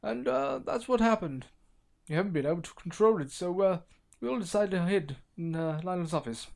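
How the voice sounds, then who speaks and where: A man's voice: high-pitched, animated, fairly quick, creaky, darkish tone, a little hiss or hum. A man voicing an earnest circus character telling a story in a children's animation. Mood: earnest, explaining. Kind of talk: scripted animated story dialogue.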